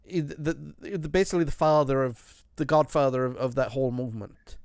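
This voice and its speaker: Smooth, dry-sounding podcast host man. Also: mid-range voice, brisk, darkish tone, no echo. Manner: rambling, many restarts. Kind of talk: casual music chat.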